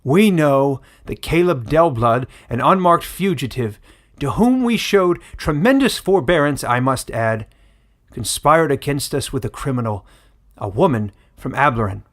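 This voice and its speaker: A man's voice: mid-range voice, animated, measured pace, fairly bright tone, pitched above the performer's own voice. A man voicing a solemn, self-righteous old village elder who makes formal speeches in a fantasy story. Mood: accusing.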